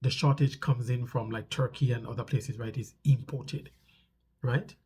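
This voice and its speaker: A man speaks in a strongly husky, congested voice with a dark, relaxed tone. He explains things casually to his viewers as a vlog host. He often repeats short phrases to stress a point. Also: mid-range voice, normal speed. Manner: conversational, repeats phrases for emphasis. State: stuffy nose from a cold.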